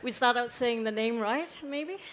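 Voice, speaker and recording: A woman's voice: on the high side, normal speed, a bit bright, a little hiss or hum. A woman interviewing a guest on a conference stage, asking questions in a friendly, curious way.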